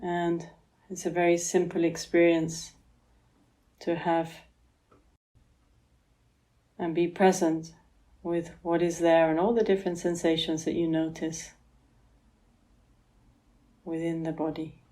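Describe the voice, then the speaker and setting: A woman guiding a relaxation meditation as a yoga therapist, speaking in a gentle, soothing manner with long pauses. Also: lowish voice, level tone.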